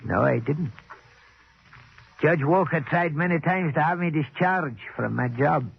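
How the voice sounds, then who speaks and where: An actor playing a nervous, cryptic old man in a 1940s radio drama, with a creaky, husky, halting voice. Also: on the high side, highs cut off, heavily acted. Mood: fearful, defensive. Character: nervous, cryptic old man, working-class club employee.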